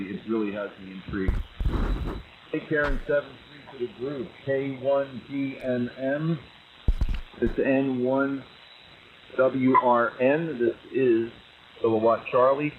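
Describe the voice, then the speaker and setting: A man chatting casually as an amateur radio operator, heard over a ham radio transmission. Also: medium pitch. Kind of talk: casual on-air radio chat. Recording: muffled, very noisy ham radio signal.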